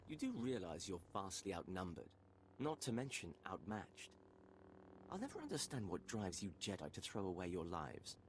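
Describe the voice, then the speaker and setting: An actor playing an arrogant, cruel Imperial villain in a video game, taunting and condescending. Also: male voice, deep voice, huge pitch swings, normal speed, a little hiss or hum.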